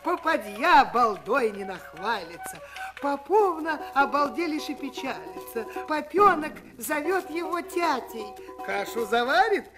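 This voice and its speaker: A man narrating a verse fairy tale for a children's record, in a lively, rhythmic reading. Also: extremely high pitch, unhurried, music in the background. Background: folk instrument ensemble.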